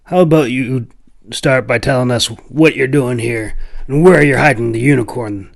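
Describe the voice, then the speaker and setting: A man voicing a stocky, hostile hunter in a fantasy audiobook, snappish, rough-spoken and demanding. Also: medium pitch, normal speed, no room sound, studio quality. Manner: snappish, demanding, folksy grammar.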